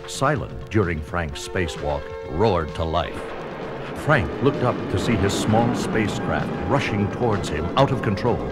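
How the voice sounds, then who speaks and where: A man narrating a children's read-along story in a dramatic, scripted storytelling style. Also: low-pitched. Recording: music under the voice.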